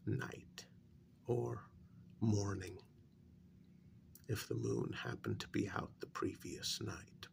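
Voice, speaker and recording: A man playing a calm, menacing veteran werewolf agent in a soft ASMR role-play, gravelly and often near a whisper, with deliberate pauses. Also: lowish voice, a little hiss or hum, heavily acted. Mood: confiding, intense. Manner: slow, deliberate, pausing between short phrases.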